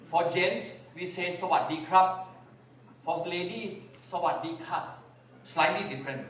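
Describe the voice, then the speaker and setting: A man with a Thai accent giving a slow, deliberate talk on a conference panel, sometimes repeating his words. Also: high-pitched, a bit bright, a little hiss or hum. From Thailand (Bangkok). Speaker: panel speaker, vegan activist. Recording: echoey hall, mic heard from far.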